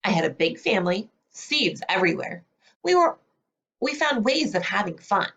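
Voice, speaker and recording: A woman voicing a brazen, self-declared bad little seed in a storybook, with dramatic, confessional delivery and drawn-out words. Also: mid-range voice, sing-song, gravelly, fairly bright tone.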